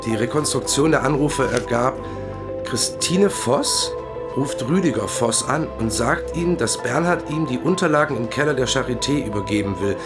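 An actor playing a practical police detective in a crime radio drama, matter-of-fact in tone. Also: male voice, medium pitch, animated, normal speed, over loud music, close to an everyday voice. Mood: factual. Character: male police detective, practical colleague and narrator.

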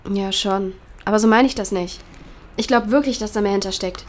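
A young actress playing a grieving, searching daughter in a naturalistic audio drama, talking colloquially and insistently. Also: female voice, mid-range voice, brisk, lightly acted. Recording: clear background ambience noise.

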